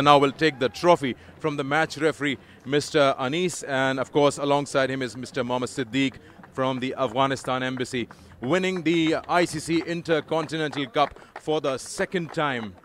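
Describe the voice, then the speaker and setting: A man's voice: a little high, steady tone, brisk, a bit bright, slight background noise. A male presenter interviewing a winning captain and signing off the broadcast at an outdoor cricket ground. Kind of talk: post-match interview and sign-off.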